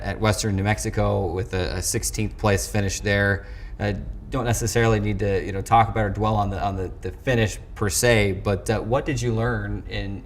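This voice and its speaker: A man with a fairly low voice hosts a sports interview in a conversational way. His questions are wordy and tend to ramble. There is clear background noise around him. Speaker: sports show host interviewing a coach. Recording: clearly noisy background ambience.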